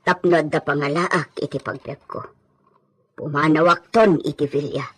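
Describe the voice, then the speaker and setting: A woman playing a money-hungry, resentful village gossip in an Ilocano audio drama, with a husky voice. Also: low-pitched, fairly even intonation, normal speed.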